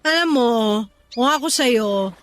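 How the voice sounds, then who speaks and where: An actress with a Filipino accent playing a sassy, ambitious, restless young resort worker in a radio drama. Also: female voice, sits high, lively intonation, unhurried, faint music behind. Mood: defiant, boastful. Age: young adult. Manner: sassy, complaining, colloquial banter. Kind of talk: Tagalog radio drama dialogue.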